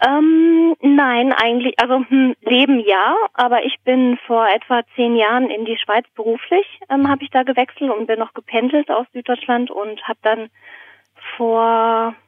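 A woman's voice: a little high, fairly even intonation, moderate pace, dry recording. Woman on a thin phone line. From Germany, born in Berlin, raised in southern Germany. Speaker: phone-in podcast guest. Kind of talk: casual conversation, telling anecdotes and a joke. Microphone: phone call. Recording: thin, narrow telephone line.

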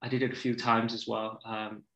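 A man's voice: medium pitch, level tone, normal speed, sharp tone. A man in his thirties with a Malaysian accent, chatting casually as a podcast guest. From Borneo, Malaysia. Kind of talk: casual chat. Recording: echoey, roomy sound.

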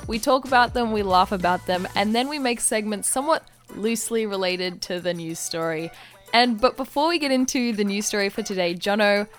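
A woman with an Australian accent co-hosting a podcast in casual, comedic chat, giggly and expressive in her reactions. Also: mid-range voice, a little fast, soft background music. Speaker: podcast co-host.

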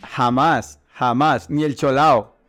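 A man's voice: mid-range voice, fairly even intonation, takes it slowly, fairly bright tone, dry recording, faint music behind. A man hosting a casual bilingual interview, hesitant and often repeating his words. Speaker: interview host.

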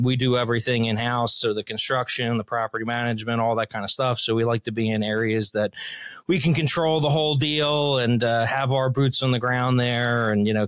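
A man in his thirties from Philadelphia talks as a podcast guest in a relaxed, rambling way, often pausing on fillers. His voice is smooth and clear. The sound is dry and close, with no room echo. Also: mid-range voice. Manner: easygoing, rambling, frequent fillers. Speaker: guest, real estate investor. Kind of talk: casual interview talk.

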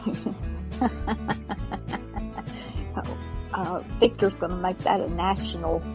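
A woman hosting a radio show in casual, halting, unscripted chat. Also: fairly low pitch. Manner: chatty, halting, informal. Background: guitar music.

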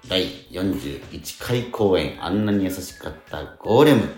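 A middle-aged man hosting a radio show, chatting casually and telling things in halting pieces. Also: low-pitched, expressive, darkish tone, roomy sound, faint music behind. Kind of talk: casual chat. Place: small tatami dressing room at a theatre.

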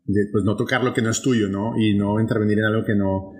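A man's voice: lowish voice, steady tone, a little fast, a little mellow. A young man with a northern Mexican accent, co-hosting a podcast in a casual, rambling way with many restarts. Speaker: podcast co-host. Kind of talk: casual conversation.

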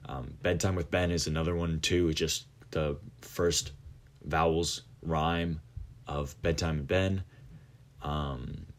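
Very deep-voiced young man, rambling. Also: steady tone, slow. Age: late teens. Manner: rambling, many fillers and restarts. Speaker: podcast host. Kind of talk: casual monologue.

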